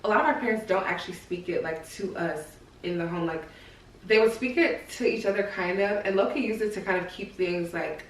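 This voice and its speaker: A woman's voice: medium pitch, a little fast, recorded from a distance. A woman podcast co-host chatting casually in a laid-back, teasing, slangy way. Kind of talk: casual chat. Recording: echoey room, off-mic.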